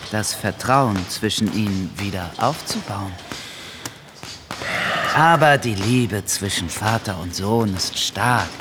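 An actor playing a melancholy, romantic Congolese gentleman in a radio play, speaking deliberately and reflectively with dramatic pauses. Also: on the low side, background noise. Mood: earnest, persuasive.

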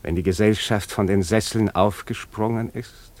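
Actor as courteous, musing exiled prince, low voice. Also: male voice, fairly even intonation, moderate pace, darkish tone, lightly acted. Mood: dreamy, musing. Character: courteous exiled prince, wistful, dreamy aristocrat. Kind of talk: German radio play acting.

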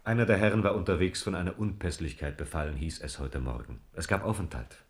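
An actor playing a loyal, sober royal counsellor in a radio play, speaking formal, deferential standard German. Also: male voice, deep voice, quick pace. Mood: composed, explanatory.

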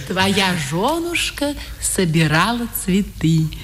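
An actress playing a tender, spirited wife in a theatrical, emotional 1950s radio drama style. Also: female voice, mid-range voice, big pitch swings, very slow, heavy background noise. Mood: playful, girlish. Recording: old radio play recording with music.

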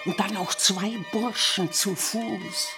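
A man's voice: high-pitched, steady tone, slow, over music. A man playing an eager lookout at an inn in a radio drama, announcing in a singsong manner.

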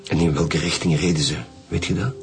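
An actor playing a grave, steady chief inspector in a radio drama, with a Flemish accent and gravelly voice. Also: male voice, deep voice, fairly quick. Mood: probing. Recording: music behind the voice.

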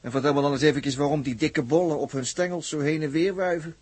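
A man acting an excitable, wry spaceship crewman in a 1960s radio drama, husky, repeating himself in exclamations. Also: a little high, fast, no room sound. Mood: sardonic.

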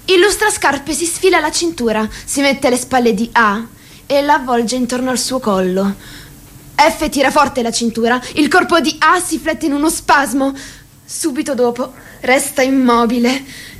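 A woman's voice: high voice, moderate pace, fairly bright tone. A woman giving scripted dramatic narration in a radio play. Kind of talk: scripted dramatic narration, also voicing characters' lines.

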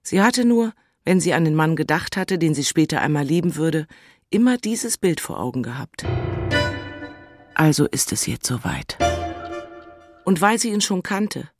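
An actress playing a lovestruck, high-strung city woman in a radio drama, musing and self-mocking with a breathy voice. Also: expressive, moderate pace, pauses often, faint music behind. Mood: dreamy, bewildered. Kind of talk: radio drama narration, intimate.

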